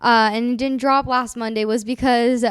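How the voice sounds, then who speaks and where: A woman's voice: a little high, fairly even intonation, moderate pace, clear, resonant tone, dry recording, studio quality. A woman in her twenties, co-hosting a podcast in casual chat, rambling with lots of fillers. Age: 20s. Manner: rambling, filler-heavy, laughs often. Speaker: podcast co-host.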